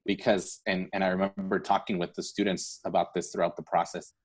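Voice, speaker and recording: A man's voice: sits low, little pitch movement, fairly quick. A man hosting an online awards event, speaking informally and from the heart in an earnest, encouraging way. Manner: earnest, encouraging, often seeking agreement with "right?". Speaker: event host for a scholarship foundation.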